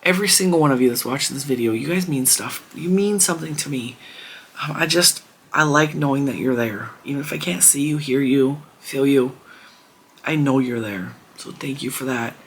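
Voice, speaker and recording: A woman hosting a crafting channel, chatting casually to her viewers in a hoarse voice, rambling and warm. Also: extremely low pitch, moderate pace, slight background noise. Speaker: crochet channel host. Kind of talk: casual chat to camera.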